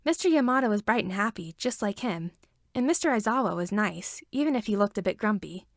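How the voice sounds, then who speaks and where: A woman's voice: mid-range voice, lively intonation, a little fast, no room sound, studio quality. A woman reading a story aloud as scripted narration. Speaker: fan fiction reader.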